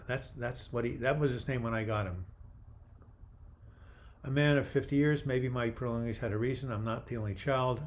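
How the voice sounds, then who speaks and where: An older man hosting a live video chat, reading viewer comments aloud and replying in a friendly, halting way with frequent restarts. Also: medium pitch. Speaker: livestream host answering viewer comments.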